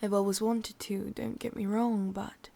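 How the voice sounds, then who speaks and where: A woman with an English accent voicing an ancient, lonely, sadistic witch in a breathy half-whispered ASMR roleplay, speaking slowly with drawn-out pauses. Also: medium pitch, little pitch movement, dark tone. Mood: coaxing. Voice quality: breathy, often slipping into whisper. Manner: slow, halting, drawn-out delivery with pauses.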